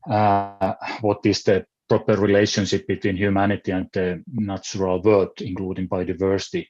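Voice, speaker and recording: A man's voice: fairly low pitch, a little mellow. A man with a Finnish accent, a native Finnish speaker, talking on an online panel in a hesitant way, restarting often as he searches for words. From Finland. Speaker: philosopher on a panel. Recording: dull lo-fi call audio.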